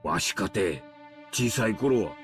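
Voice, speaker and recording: A man's voice: lowish voice, animated, normal speed, faint music behind, lightly acted. A man with a Kansai accent playing an anguished, pleading middle-aged husband in a visual novel. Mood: pleading, indignant. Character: anguished middle-aged husband confronting his murderous wife. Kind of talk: Japanese visual novel voice acting.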